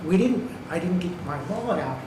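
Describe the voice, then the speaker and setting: A man's voice: high voice, expressive, a little fast. Emphatic man in an echoey meeting hall. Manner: emphatic, repeats okay and right to press a point. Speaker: public commenter. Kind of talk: public comment at a county meeting. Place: county government meeting room. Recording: echoey hall with background noise.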